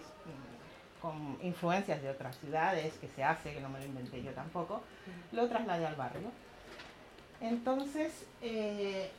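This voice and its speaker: A middle-aged woman from Chile with a Chilean accent, speaking at a community talk and telling lively stories about her neighborhood projects. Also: on the low side. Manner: animated storytelling, laughing often, acting out voices of others. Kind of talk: public talk with audience questions. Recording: echoey room, some background noise.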